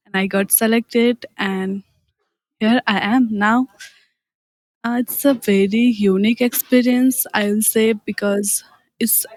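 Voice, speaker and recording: A woman's voice: mid-range voice, no room sound, poor audio. A young woman with a Nepali accent, giving informal answers as an interviewee. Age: young adult. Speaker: interviewee, graduate student.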